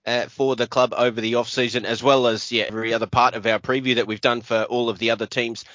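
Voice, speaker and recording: A man's voice: mid-range voice, flat delivery, fast talker, a bit bright, no room sound, dull audio. A man hosting a podcast, chatting casually with frequent "uh" fillers. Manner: hesitant, many "uh" fillers. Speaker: podcast host.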